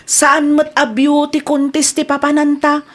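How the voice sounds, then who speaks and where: A sharp-tongued daughter, played by a woman in an audio drama, with a high, light voice. She teases and scolds in quick, pointed lines. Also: completely flat delivery. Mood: teasing. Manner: teasing, scolding.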